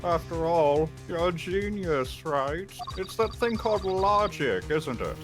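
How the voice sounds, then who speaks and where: A man's voice: on the high side, lively intonation, slow pace. A man playing a mocking, sarcastic kidnapper mastermind in a video game fan dub. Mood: smug. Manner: mocking, sing-song sarcasm. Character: taunting, smug male mastermind, a masked kidnapper on the phone. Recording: music playing under the voice.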